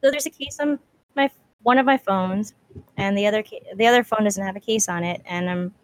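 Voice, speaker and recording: A woman's voice: mid-range voice, a little fast. Podcast co-host, woman in her twenties, casual and playfully dramatic, mid-range voice. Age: 20s. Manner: playful, mock-dramatic complaining. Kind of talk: casual chat.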